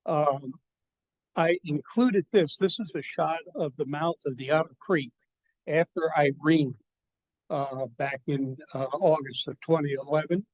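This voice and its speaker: Halting older man giving a talk. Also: on the high side, darkish tone, low-quality recording. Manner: folksy, halting, self-deprecating with slides. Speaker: guest speaker at a seminar. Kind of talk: casual conference presentation. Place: lecture hall. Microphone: handheld mic, held low at times.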